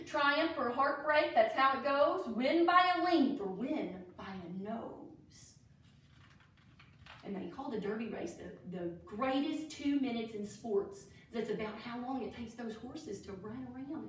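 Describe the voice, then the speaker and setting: Slow-paced woman reading to children, echoey room. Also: on the high side, a little hiss or hum. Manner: addresses children, enthusiastic asides. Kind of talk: children's picture book read-aloud with asides. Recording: echoey room, distant microphone.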